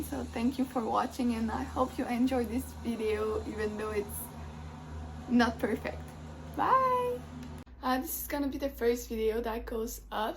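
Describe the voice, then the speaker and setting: A woman's voice: fairly high pitch, a little hiss or hum, poor audio. Chatty young Québécois woman vlogging. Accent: Québécois French. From Quebec. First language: French. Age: 20s. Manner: chatty, many fillers and restarts. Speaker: book vlogger. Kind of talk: casual chat to camera. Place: home room.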